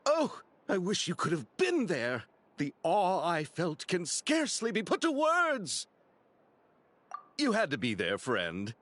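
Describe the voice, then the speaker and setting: A man's voice: high voice, huge pitch swings, takes it slowly, a bit bright, dry recording, exaggerated voice. An actor voicing a flamboyant, romantic male film director who speaks in flowery, theatrical phrases. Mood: dreamy. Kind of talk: video game voice acting.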